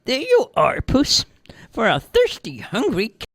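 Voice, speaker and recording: A woman's voice: on the high side, wildly swooping pitch, measured pace, dry recording, clean studio sound, voice pushed up, heavily acted. A woman playing a dotty, cantankerous old lady, rambling and querulous. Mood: calling, coaxing. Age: older.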